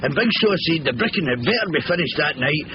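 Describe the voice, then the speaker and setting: Casting: male actor playing jumpy, rambling Scottish labourer, lively higher-set voice, vintage radio drama. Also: fast, natural voice. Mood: rambling, aggrieved. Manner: rambling, nervy, colloquial. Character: chatty, jittery working-class labourer with a shady scheme. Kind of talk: 1970s British radio comedy-thriller acting. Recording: muffled, noisy old radio broadcast.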